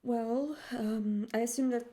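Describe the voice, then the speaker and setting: A woman voicing a cautious, practical vampire in a tabletop roleplay, speaking politely and haltingly. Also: on the high side, steady tone, warm tone, natural voice. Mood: hesitant. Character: cautious, practical female vampire coterie member.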